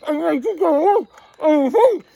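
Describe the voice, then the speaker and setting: Man putting on a fluttery, high-pitched theatrical lady. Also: expressive, measured pace, creaky, warm tone, heavily acted. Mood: eager, excitable. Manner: fluttery, exclaiming, chatty with children. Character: fussy, excitable, theatrical lady storytime host. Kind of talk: comic children's storytime presenting.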